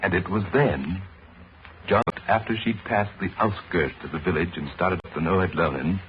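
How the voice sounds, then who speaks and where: A man narrates in the solemn, measured style of old radio drama. His voice sits fairly low. The broadcast sounds old and dull, with music playing clearly behind him. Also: a little hiss or hum.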